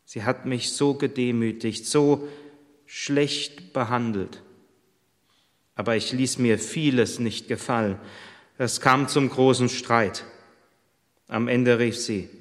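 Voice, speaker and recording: Distressed pregnant servant woman as a preacher puts her on, heartfelt first-person telling, unhurried, long church echo. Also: mid-range voice, a bit bright. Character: pregnant young female servant, desperate.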